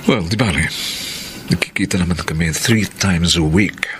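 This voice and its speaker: A man's voice: sits low, normal speed, noisy background, natural voice. A man with a Filipino accent acting a polite, smitten young suitor in a radio drama. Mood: pensive, resigned.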